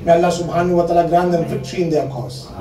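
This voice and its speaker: A man's voice: on the high side, steady tone, rapid-fire, crowd noise. Man, 30s, sermon, earnest, repeats words for stress, mixes in Urdu and Arabic, handheld mic, echoey hall, slightly distant. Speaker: religious speaker. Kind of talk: sermon at a memorial gathering. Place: indoor hall, seated at a panel table.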